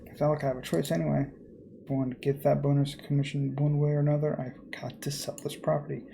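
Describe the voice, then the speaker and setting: A man narrates a horror visual novel in the first person with theatrical, measured delivery. His voice is dark and gravelly, over faint background noise. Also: a little high, little pitch movement. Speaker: let's player reading aloud.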